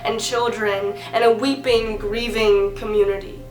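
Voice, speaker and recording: A young American woman performing a slam poem, speaking earnestly with dramatic phrasing, deliberate pauses and repetition. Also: medium pitch, flat delivery, a little mellow, reverberant, soft background music, rough recording. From San Bernardino area, California, United States. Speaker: amateur spoken-word poet. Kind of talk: slam poetry recitation.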